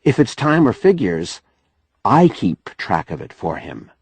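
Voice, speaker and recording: Guy doing a kind younger woman explaining things earnestly, audiobook style, lively pitch. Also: unhurried, gravelly, husky, no room sound, heavily acted.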